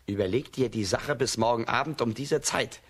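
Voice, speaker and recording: An actor playing a hard, resolute peasant son in a children's radio play, husky, firm and commanding. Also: male voice, medium pitch, normal speed, close to an everyday voice. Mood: stern, threatening.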